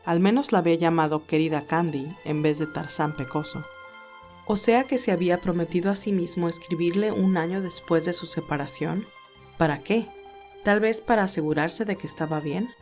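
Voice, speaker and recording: A woman's voice: lowish voice, normal speed, darkish tone, faint music behind. A woman narrator reading a scripted romantic story.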